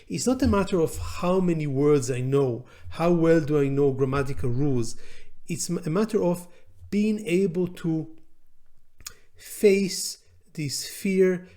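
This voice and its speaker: A middle-aged male law professor, a native Hebrew speaker, talks through an idea in a thoughtful lecture-style monologue. His voice sits a little high for a man but has a soft, mellow tone. He speaks deliberately, stopping to search for words with frequent ums and uhs. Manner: deliberate and measured, with pauses and filler sounds. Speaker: law professor hosting an educational channel. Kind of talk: reflective educational monologue.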